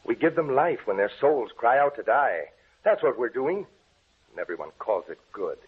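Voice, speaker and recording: An actor playing a brooding, obsessed scientist with a grave, melodramatic delivery in an old-time radio drama. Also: male voice, medium pitch, big pitch swings, normal speed, husky. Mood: bitter. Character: brooding, obsessed male scientist plotting to kill his beloved. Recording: muffled old radio recording.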